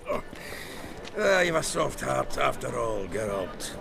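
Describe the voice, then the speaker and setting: An actor playing a gruff, jovial, foul-mouthed dwarf in a video game, talking bluntly and heartily. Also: male voice, mid-range voice, big pitch swings, slow, over music, heavily acted. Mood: jovial banter. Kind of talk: video game character acting.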